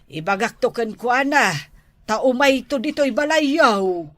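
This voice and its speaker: A woman's voice: fairly high pitch, animated, unhurried, dry recording, clean studio sound. A woman playing a complaining mother of a grown daughter in an Ilocano radio drama, with an Ilocano accent. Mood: grumbling.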